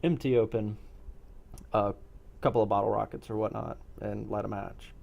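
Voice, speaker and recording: A man with a lowish, creaky voice and a relaxed, mellow tone. He talks as a game master, explaining events to players in a measured, deliberate manner. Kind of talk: explaining a role-play game session.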